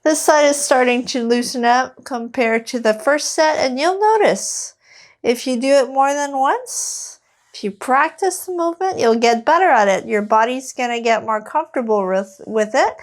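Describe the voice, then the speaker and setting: A woman with a Quebec French accent, a fitness coach guiding a mobility workout in a relaxed, halting way with many fillers. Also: a little high. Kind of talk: casual workout instruction.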